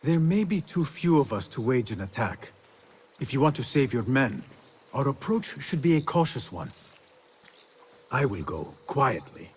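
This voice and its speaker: An actor playing an earnest, humble and determined young assassin in a video game cutscene. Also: male voice, medium pitch, big pitch swings, a little slow, a little hiss or hum. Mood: calm, resolute.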